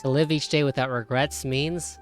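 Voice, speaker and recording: A man's voice: mid-range voice, fairly even intonation, moderate pace. A man streams a video game playthrough with casual live reactions. He sounds emotionally invested in what he is playing, and light music runs underneath.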